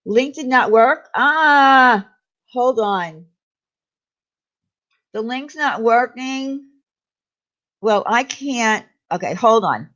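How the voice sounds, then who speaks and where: Slow, chatty New woman. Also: fairly high pitch, animated, a bit bright. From New York. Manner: chatty, rambling, laughs easily. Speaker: livestream host. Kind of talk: casual live chat reading viewer comments. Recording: dull lo-fi livestream audio.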